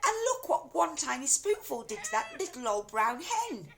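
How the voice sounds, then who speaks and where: A woman's voice: sits high, sing-song, normal speed, gravelly, slight background noise, voice pushed up, exaggerated voice. A woman voicing a fretful, anxious mother who wails and shrieks in alarm, in a storybook reading. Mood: panicky wailing. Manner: wailing, panicky.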